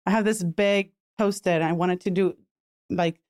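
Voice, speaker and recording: Woman from Puerto Rico, relaxed guest. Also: lowish voice, a little slow, no echo, studio quality. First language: Spanish. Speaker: podcast guest. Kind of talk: casual interview answers.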